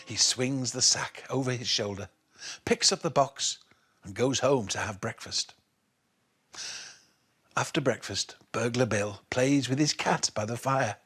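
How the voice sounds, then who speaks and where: A man reading a children's bedtime story in an expressive, measured way. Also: mid-range voice, husky.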